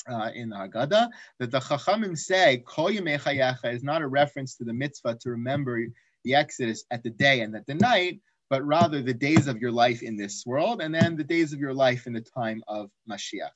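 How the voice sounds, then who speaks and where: A man teaching an online Talmud class, raising rhetorical questions and dropping into quiet asides. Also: mid-range voice, no room sound, muffled recording. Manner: animated, asks rhetorical questions, drops to asides.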